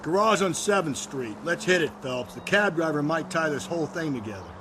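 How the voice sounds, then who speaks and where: An actor playing a cynical, menacing police detective sidekick in a video game crime drama. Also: male voice, on the high side, lively intonation, a little fast, noisy background, lightly acted. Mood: brisk, urgent. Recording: loud music behind the voice.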